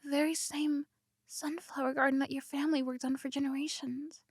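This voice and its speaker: A woman voicing a flustered tsundere tomboy princess in an ASMR roleplay, stammering and slipping from soft whispers into indignant protests. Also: high-pitched, flat delivery, a little fast, dry recording, clean studio sound. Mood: tender.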